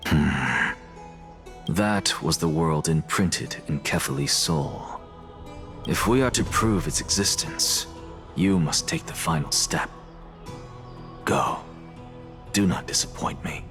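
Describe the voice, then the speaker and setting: A detached, ambitious scholar who wants to become a god, speaking in a very low, even tone. He lectures coolly and calmly, and game music sits softly behind him. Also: slow pace, pauses often, exaggerated voice. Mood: commanding. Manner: calm, cool and lecturing. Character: aloof, ambitious male scholar seeking godhood.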